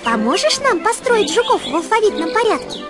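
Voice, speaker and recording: A woman's voice: extremely high pitch, very animated, fairly quick. A woman voicing a friendly young creature who kindly guides the player in a children's game. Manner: gentle, encouraging, guiding the player. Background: music.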